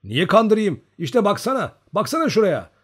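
Man playing a hot-headed young Cossack, urgent and husky. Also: on the high side, animated, fairly quick, darkish tone, voice pushed up. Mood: urgent insistence.

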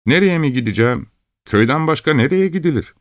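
A man's voice: expressive, fast talker, dry recording, lightly acted. A sturdy, naive young peasant lad, as a male reader puts him on talks in a raised, whining voice that keeps rising in complaint. The sound is close and dry. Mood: indignant. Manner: whiny, plaintive, rising pitch.

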